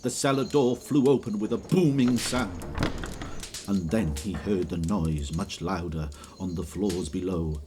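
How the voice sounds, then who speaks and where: A man giving a measured, theatrical narration of a scripted story in an audio drama, as narrator. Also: low-pitched, over music. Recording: noticeable background ambience noise.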